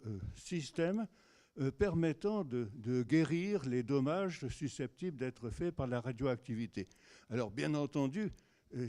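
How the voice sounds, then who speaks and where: A man moderating a conference, introducing the next speaker in hesitant, halting speech. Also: medium pitch, warm tone. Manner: hesitant, many fillers and restarts. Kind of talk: introducing a lecture.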